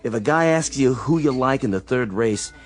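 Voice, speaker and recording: An actor playing a protective, plain-spoken tavern keeper in a radio drama, colloquial and a little halting. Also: male voice, medium pitch, animated, soft background music, lightly acted. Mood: advising.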